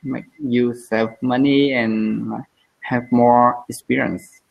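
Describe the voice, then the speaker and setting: A woman's voice: extremely low pitch, steady tone, slow, gravelly, lo-fi sound. A woman with a Vietnamese accent practising English in a casual lesson, hesitant and searching for words with many fillers, heard over a video call. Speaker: English learner.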